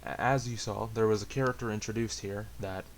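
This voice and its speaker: A man chatting casually to viewers, hesitant and halting, with a self-deprecating, rambling way of talking. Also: on the low side, steady tone. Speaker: amateur story reader and channel host. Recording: hissy amateur home recording.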